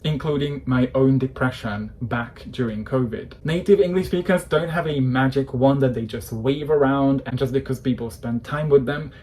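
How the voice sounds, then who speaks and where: Man, 30s, English coach, passionate, opinionated, warm voice. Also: mid-range voice. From Hungary. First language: Hungarian. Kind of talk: direct-to-camera talk.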